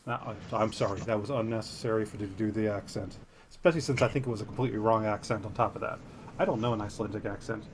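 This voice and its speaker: A man's voice: on the low side, steady tone, fast, a little hiss or hum. A man co-hosting a podcast, reading a news story aloud and breaking off into jokey, self-deprecating tangents. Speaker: podcast co-host.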